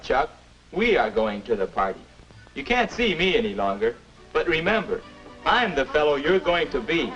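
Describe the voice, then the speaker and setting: A man's voice: mid-range voice, highs cut off. An American actor in his twenties playing a patient, encouraging older self instructing a boy in 1950s educational film style. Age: 20s. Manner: instructive, encouraging, neatly spoken. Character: patient, upbeat 21-year-old older self mentoring a boy. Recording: noisy old 1950s film soundtrack.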